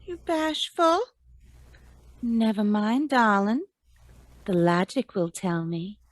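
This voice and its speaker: A woman's voice: mid-range voice, takes it slowly, noisy background, natural voice. A woman playing a gushing, clingy old flame in an old-time radio drama, with a syrupy, coaxing, theatrical delivery. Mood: coaxing, sly.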